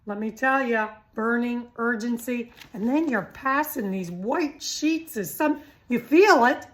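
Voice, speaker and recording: A woman's voice: on the high side, measured pace. A middle-aged woman giving an informal, chatty health talk, blunt and teasing, firing rhetorical questions at the listener. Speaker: health vlogger.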